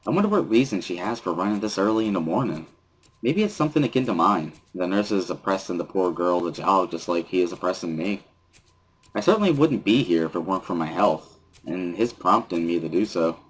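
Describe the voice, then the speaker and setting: A man's voice: low voice, expressive, a little fast, slightly rough, low-quality recording. A man giving a first-person scripted narration, reading a visual novel aloud as a dramatic reading. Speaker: reader of a dramatic reading series.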